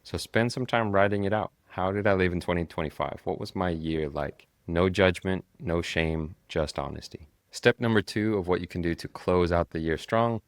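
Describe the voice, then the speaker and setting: A man's voice: deep voice, normal speed, hardly any pauses, clean tone, no room sound. A male podcast host giving an earnest, encouraging self-help talk while recovering from a cold and a sore throat. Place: traveling, recording on location.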